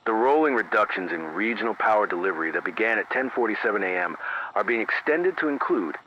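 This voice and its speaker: A man playing a careful, grave local radio announcer who reads emergency bulletins in a formal, measured way, repeating key phrases, through an AM radio filter. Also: on the low side, expressive. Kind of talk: scripted analog horror news bulletin. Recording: dull AM radio sound, faint noise.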